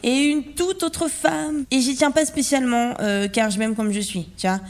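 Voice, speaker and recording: An actress as a cheeky, high-voiced woman schemer. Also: normal speed. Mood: reassuring, playful. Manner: playful, theatrical, slangy. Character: cheeky, upbeat woman activist friend full of schemes. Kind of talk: French audio fiction acting.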